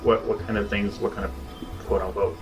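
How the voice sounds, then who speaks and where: A man's voice: on the low side, flat delivery, a little fast, creaky, far from the mic, over music. A man playing a tabletop game, talking casually to the game master.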